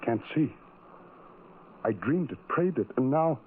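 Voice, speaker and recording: An actor playing a lonely, tormented man in a radio horror drama, with a brooding, halting, intense confessional delivery. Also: medium pitch, expressive, husky. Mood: frantic, guilty. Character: lonely, tormented, self-loathing man who hides from people. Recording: tinny old radio recording, music behind.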